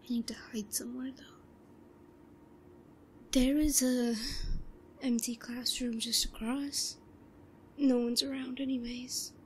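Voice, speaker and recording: Woman acting a slow, whispery, obsessive yandere schoolgirl. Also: fairly even intonation, slight background noise. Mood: furtive, scheming. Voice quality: breathy, often dropping to a whisper. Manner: hushed muttering to herself, halting. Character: shy, obsessive yandere schoolgirl, secretly scheming. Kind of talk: roleplay audio drama monologue. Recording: low-quality recording with faint crowd murmur.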